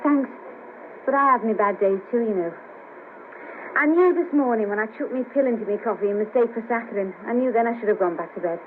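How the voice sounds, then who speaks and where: A woman's voice: fairly high pitch, fairly quick, phone-quality sound, close to an everyday voice. An actress playing a chirpy, bawdy, down-on-her-luck streetwalker of about thirty with a Liverpool accent, in a colloquial 1970s radio play. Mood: rueful, cheeky. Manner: colloquial, cheeky, earthy. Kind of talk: 1970s radio drama acting. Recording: patchy noisy cassette of 1970s radio broadcast.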